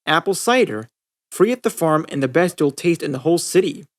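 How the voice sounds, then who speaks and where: A man's voice: a little high, animated, a little fast, natural voice. A man voicing a plainspoken farm girl who talks in a drawl. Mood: easygoing.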